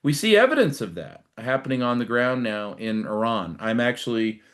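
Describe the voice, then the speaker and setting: A middle-aged or older American man speaking as a guest commentator, explaining things conversationally in a measured way with emphatic stresses. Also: mid-range voice, very animated, fairly bright tone. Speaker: guest commentator, retired military. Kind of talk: live political commentary, conversational.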